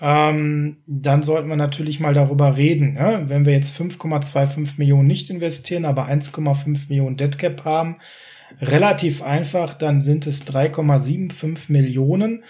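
Chatty German podcast host, slightly high voice. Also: male voice, steady tone, moderate pace, mellow. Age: middle-aged. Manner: chatty and direct, speaks to listeners, tags "ne?". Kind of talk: casual sports podcast discussion.

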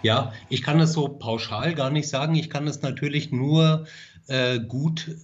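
A man answers interview questions as a screenwriter, pausing often with fillers as he thinks. His voice is of middling pitch, and the recording sounds dull and lo-fi. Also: over music. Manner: hesitant, many fillers.

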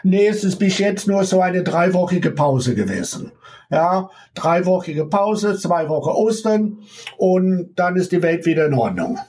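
American guy, middle-aged, slightly high voice, halting german with lots of ums and little laughs. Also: animated, darkish tone. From United States. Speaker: guest, ballet teacher. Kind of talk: casual interview over remote connection.

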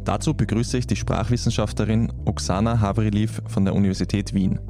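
A man hosting a podcast, reading a scripted introduction and asking his guest questions in a measured way. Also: fairly low pitch, fairly even intonation, gravelly, over music. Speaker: podcast host.

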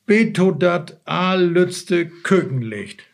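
A man with a Low German accent, a native Low German speaker, reading a fairy tale aloud slowly and haltingly. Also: expressive, gravelly. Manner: slow, halting and stumbling. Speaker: guest reader.

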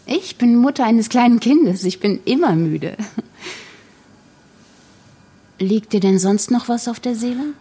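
A woman's voice: a little high, lively intonation, normal speed, mellow, husky. An actress playing a pragmatic, tired young mother in a naturalistic audio drama, matter-of-fact and questioning with her friends. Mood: caring, weary.

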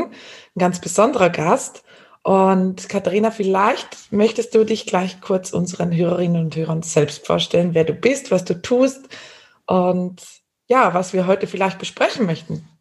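A woman's voice: lowish voice, moderate pace, a little mellow. A woman speaking conversationally as a podcast host, introducing and chatting as a coach and author.

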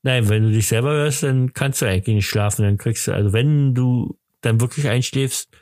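A man's voice: mid-range voice, normal speed, a little mellow, dry recording, clean studio sound. Rambling middle-aged man, tipsy podcast chat. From Germany. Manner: rambling, teasing. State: slightly tipsy. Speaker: podcast host. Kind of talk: casual chat.

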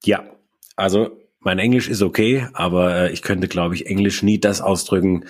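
Man, from Germany, band musician, easygoing, husky, low voice. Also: steady tone, normal speed. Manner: easygoing, laughs readily. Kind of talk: casual interview chat.

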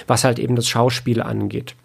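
A man's voice: medium pitch, flat delivery, brisk, vocal fry. A man answering casually as an interview guest, hesitant and often searching for his words. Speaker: interview guest, audio drama producer and author.

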